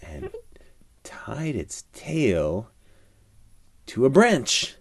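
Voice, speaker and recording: A man telling an improvised children's bedtime story in a playful, animated way, with dramatic pauses and hushed moments. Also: mid-range voice, gravelly, husky, no room sound.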